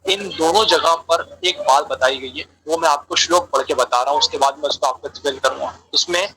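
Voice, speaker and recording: A man's voice: on the high side, fairly quick, sharp tone, slight background noise, low-quality recording. A man giving a religious lecture on an online call, explaining terms and repeating phrases for emphasis. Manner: explanatory, translates key terms as he goes. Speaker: religious speaker answering questions.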